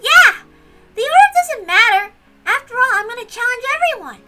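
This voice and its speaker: A woman voicing an eager, confident little girl in a visual novel read-aloud, earnest and excitable. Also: extremely high pitch, normal speed, faint music behind, voice pushed up, exaggerated voice. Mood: excited.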